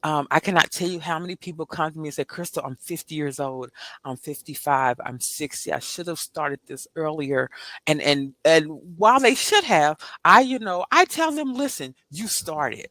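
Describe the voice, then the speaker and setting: Woman, financial planner guest; animated, preachy motivator; forceful bright voice, dry close sound. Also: sits low, moderate pace, dry recording. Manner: animated and preachy, keeps asking "right?"; laughs often, swings into lively voiced asides. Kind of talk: upbeat motivational interview talk.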